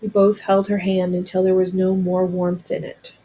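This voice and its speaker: Expressive woman reading a storybook aloud. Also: on the low side, normal speed, rough recording.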